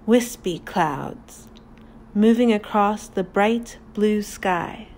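A woman leads a guided meditation at an extremely slow pace, leaving long pauses between instructions. Her mid-pitched voice is calm, deliberate and soothing. There is faint ambient noise behind her.

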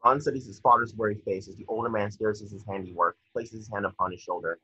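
A man's voice: fairly low pitch, fairly even intonation, fast talker, pushed and bright, husky. A young man reading screenplay stage directions as scripted narration, on dull video-call audio. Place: indoor room at home, on a video call.

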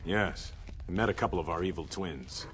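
A man's voice: deep voice, normal speed, a bit bright, a little hiss or hum. A man playing a proud, commanding undersea warrior king in a video game cutscene, speaking tersely and imperiously. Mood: guarded.

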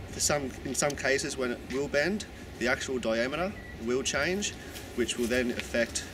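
A man working as a plumber, explaining pipe choices on the job in a casual, halting way with restarts. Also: medium pitch, noisy background.

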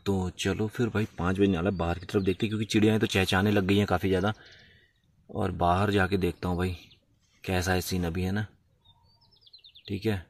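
A man's voice: low voice, little pitch movement, a little fast, pauses often. A man chatting casually and informally to viewers as he narrates his own solo camping vlog. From India. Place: at a forest campsite. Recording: distant mic, faint noise, small clicks.

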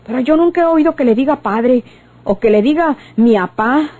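An actress playing a plainspoken, disapproving Mexican woman with a husky voice in a radio drama. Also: sits high, expressive, normal speed, noisy background.

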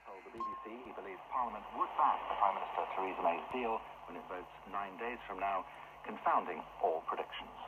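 Male newsreader, very low voice, scripted bulletin delivery, muffled shortwave signal with loud noise. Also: brisk. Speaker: radio newsreader. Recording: muffled, very noisy shortwave broadcast. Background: heavy radio static.

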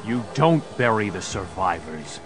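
Slow, stern masked hero. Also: male voice, deep voice, sing-song, faint music behind. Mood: dry defiance. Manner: deliberate, dry. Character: stern, brooding male masked crime-fighting hero. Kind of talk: children's audio drama acting.

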